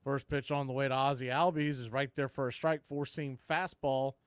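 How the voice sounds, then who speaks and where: A man doing live baseball play-by-play for a fan stream, mixing clipped pitch calls with casual, partisan asides. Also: fairly even intonation, normal speed, smooth, no echo, studio quality.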